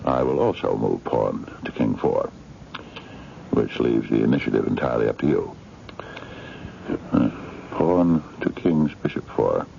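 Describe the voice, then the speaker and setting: A man's voice: a bass voice, animated, unhurried, creaky, pauses often, dark tone, full character voice. An actor in a radio mystery drama playing an eccentric, courtly man of mystery, formal, hesitant and coaxing. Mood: courtly, sly. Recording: dull, noisy 1970s radio drama recording.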